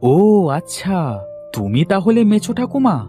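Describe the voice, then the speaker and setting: A man's voice: high voice, sing-song, unhurried, mellow. A man voicing an eager, bargaining talking frog in a cartoon fairy tale. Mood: inquisitive. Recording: music under the voice.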